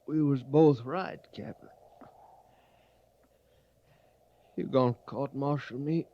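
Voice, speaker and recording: Dying, defiant soldier male actor, gravelly and halting, old radio western with muffled sound. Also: mid-range voice, close to an everyday voice. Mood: weakly defiant. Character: dying, defiant soldier, haunted ex-deserter seeking decency. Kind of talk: 1950s radio western acting. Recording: muffled vintage radio recording.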